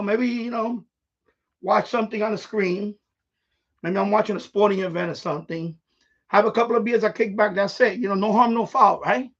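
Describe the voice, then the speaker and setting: A man with a husky voice, telling stories in a blunt, repetitive, sweary way on a casual live stream. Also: high-pitched. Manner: blunt, profane, repeats himself, animated. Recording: dull, lo-fi livestream audio.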